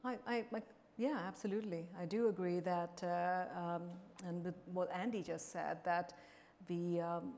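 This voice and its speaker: A middle-aged woman from India speaking on a conference panel through a lapel mic, measured and full of fillers. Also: lowish voice, steady tone, darkish tone. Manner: measured, with frequent fillers and restarts. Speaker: pharma executive panelist. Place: large conference stage. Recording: long hall reverb.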